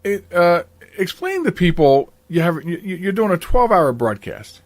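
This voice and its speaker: An older man hosts a casual interview show. His voice sits a little higher than most men's, and the recording is close and dry, with next to no room sound. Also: big pitch swings, moderate pace, no room sound. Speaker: podcast host.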